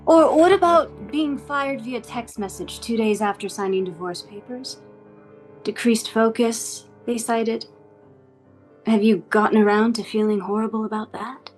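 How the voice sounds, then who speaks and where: A woman acting as an eerily composed, menacing interrogator in a script table read, speaking formally and deliberately. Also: a little high, close to an everyday voice. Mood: eerily calm. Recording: music playing behind the voice.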